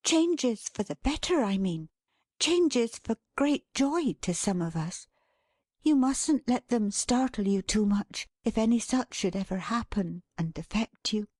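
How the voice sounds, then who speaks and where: Woman playing a young wife, storybook character, high pitched, flustered, affectionate, emphatic, very animated, breathless. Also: unhurried, no echo, clean studio sound. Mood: gently anxious. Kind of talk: dramatised classic storybook reading.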